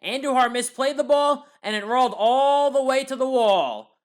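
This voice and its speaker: A woman with an American accent delivering scripted play-by-play recap commentary as a sports highlights narrator. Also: animated, normal speed.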